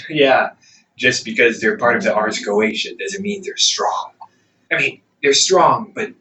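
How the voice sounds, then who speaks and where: A man acts out an ancient demon older brother for an audio roleplay, slightly distant from the mic. His voice is bright and sharp, swinging widely in pitch as he mocks and condescends. He talks like a smug sibling who is casually menacing. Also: slight background noise, exaggerated voice. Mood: smug, amused. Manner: sarcastic, condescending, drawling with chuckles. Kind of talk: audio roleplay acting, conversational monologue.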